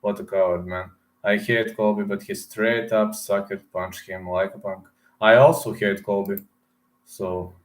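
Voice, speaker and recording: A man's voice: lowish voice, steady tone, a little slow. A man from Serbia with a Serbian accent, hosting a casual live stream, answering chat with lots of fillers and repeated words. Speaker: livestream host reading chat.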